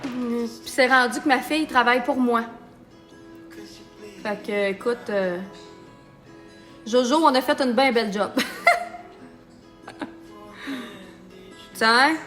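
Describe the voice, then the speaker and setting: Une femme d'âge mûr à l'accent québécois, qui bavarde en blaguant pendant qu'elle se maquille en direct. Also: female voice, on the high side, takes it slowly, long pauses, a bit bright, faint music behind. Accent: Québécois French. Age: middle-aged. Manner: chatty and joking, talks while applying makeup. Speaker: makeup artist and business owner. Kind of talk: live-stream makeup tutorial chat.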